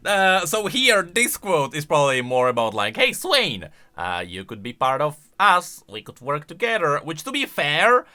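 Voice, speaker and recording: A man delivers casual commentary in a bright, fairly high voice. His pitch swings widely as he thinks aloud and stresses points emphatically. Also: wildly swooping pitch, normal speed, few pauses. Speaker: YouTube lore commentator. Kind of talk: casual, semi-improvised commentary.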